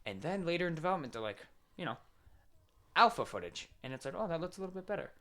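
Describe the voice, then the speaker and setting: A man's voice: fairly high pitch, big pitch swings, fast, a bit bright. A man co-hosting a podcast, explaining his opinions in casual, informal banter with some swearing. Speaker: podcast co-host.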